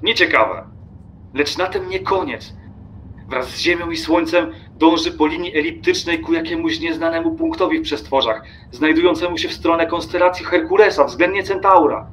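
Guy playing obsessive cranky traveller ranting in a radio play, tense highish voice, contemptuous, slight background noise. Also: moderate pace, fairly bright tone, natural voice. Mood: irritated, emphatic. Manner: emphatic, declamatory, contemptuous. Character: irritable, scornful male train passenger, obsessive lecturer. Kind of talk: audio drama acting.

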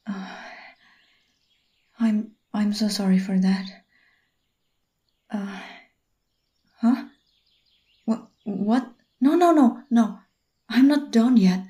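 A clingy, obsessive young boyfriend, as a woman whispers him in an ASMR roleplay. His soft, breathy whisper is slow and mellow, and he stammers and pleads as he goes. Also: fairly high pitch.

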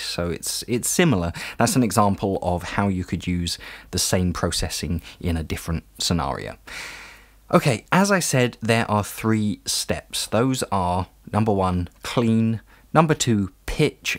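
A man narrating a casual audio production tutorial, explaining things in an informal, chatty way. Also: sits low.

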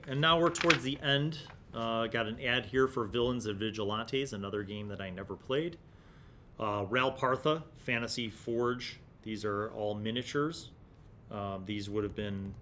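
American man, casual commentary over background rumble. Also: fairly bright tone. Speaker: host of a retro gaming review series. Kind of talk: casual commentary while leafing through an old magazine. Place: small indoor studio room. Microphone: large desk condenser microphone. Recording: clear low background rumble and noise.

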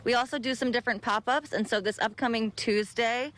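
A woman's voice: on the high side, brisk, fairly bright tone. A young woman who runs a bagel business, speaking outdoors into a handheld mic during a live TV interview, upbeat as she explains her menu. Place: outdoor park by the ocean. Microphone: handheld reporter mic.

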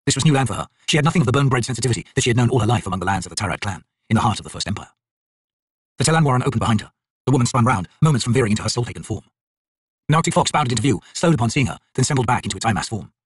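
A male audiobook narrator reads dark fantasy with solemn drama. His voice is slightly rough and moves quickly, with lively swings in pitch. The sound is dry and close. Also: no room sound.

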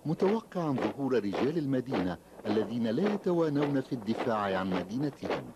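A man's voice: medium pitch, sing-song, fairly quick. A man narrating a children's story in a dramatic, scripted style. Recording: loud ambient background noise.

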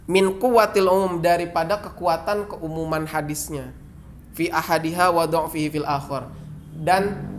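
A man's voice: high-pitched, fairly bright tone, slight background noise. Indonesian man teaching from an Arabic text. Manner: reads Arabic passages then explains in plain, repetitive phrasing. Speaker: Islamic jurisprudence teacher. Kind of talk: explaining and translating a classical Arabic text.

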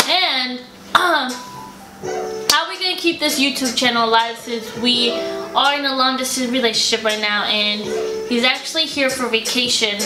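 A woman's voice: high-pitched, normal speed. A young American woman chatting casually to camera as a vlog co-host, slangy and playful. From United States. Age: young adult. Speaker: vlogger, co-host. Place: living room couch, indoors. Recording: roomy echo, music behind.

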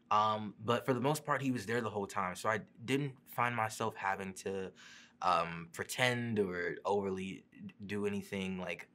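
A young man, an actor, answering interview questions casually with fillers and restarts, seated in a studio. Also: deep voice. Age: young adult. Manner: relaxed, many fillers and restarts. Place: studio seat before a printed backdrop.

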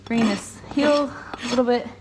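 Young woman talking over noisy rasping. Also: a little high. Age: young adult. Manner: thinking aloud in short, halting phrases while working. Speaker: horse owner giving a hoof trimming tutorial. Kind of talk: casual hands-on demonstration talk. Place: indoor barn with concrete floor. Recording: clear rasping and clattering noise. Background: filing, scraping and clatter of tools.

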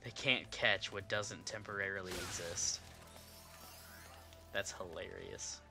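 A man's voice: low-pitched, fairly even intonation, slow pace, gravelly. A young man on a headset mic, casually commenting while he plays a game and jokingly mimicking its lines. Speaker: streamer. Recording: game music under voice. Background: game music.

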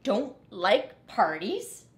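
A woman's voice: medium pitch, big pitch swings, drawn-out words. A woman voicing a grumpy, friendless male rat in a storybook, curt and huffy, in a roomy space.

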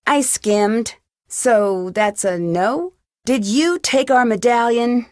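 Game heroine: curious young amateur sleuth, conversational and questioning, on the higher side, very dry recording. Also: female voice, slow, a little mellow, no echo. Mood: probing. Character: inquisitive, friendly young female amateur sleuth. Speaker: game protagonist. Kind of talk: video game dialogue lines.

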